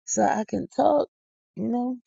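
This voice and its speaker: A woman's voice: fairly high pitch, unhurried, gravelly, dark tone, husky, no echo. A woman, hosting a podcast in a casual rambling monologue, tired, silly and folksy with exclamations. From Philadelphia. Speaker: podcast host. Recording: dull lo-fi dry recording.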